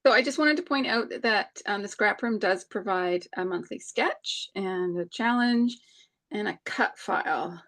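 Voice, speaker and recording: A woman in her forties co-hosting a live scrapbooking stream, talking in a thoughtful, hesitant way with many pauses. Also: medium pitch, fairly bright tone. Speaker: co-host of a live scrapbooking crop. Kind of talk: casual chat while crafting.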